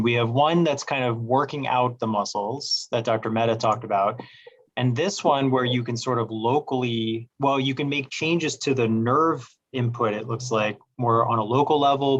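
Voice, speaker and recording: A man's voice: medium pitch, muffled recording. A man hosting a medical webinar, asking questions in a loose, chatty way with plenty of fillers over a video call. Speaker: webinar host, doctor. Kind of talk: casual moderating of a medical webinar.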